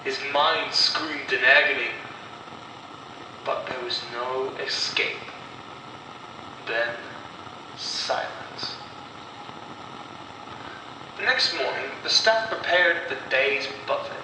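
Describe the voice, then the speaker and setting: Echoey, slow-paced man narrating horror. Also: medium pitch. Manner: slow, deliberate delivery with dramatic pauses. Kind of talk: scripted horror story narration. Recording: echoey, distant voice with clear noise.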